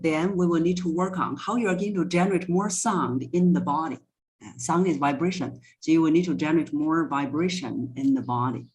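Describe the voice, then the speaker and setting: A woman's voice: sits low, darkish tone, poor audio. A woman speaking as a voice coach in an interview, explaining things step by step with examples. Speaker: voice coach, podcast guest.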